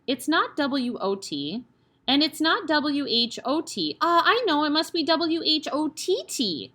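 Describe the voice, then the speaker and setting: A woman voicing a cheeky but nervous young schoolboy in a high voice. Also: very animated, normal speed, sharp tone, heavily acted.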